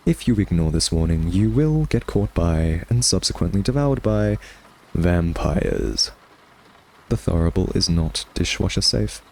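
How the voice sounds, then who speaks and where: Low-voiced, smug, teasing vampire played by a man. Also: lively intonation, measured pace, darkish tone, full character voice, poor audio. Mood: dry reading aloud. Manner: sardonic, teasing, conversational drawl. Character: smug, teasing male vampire taunting a captured hunter nemesis. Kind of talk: ASMR roleplay monologue.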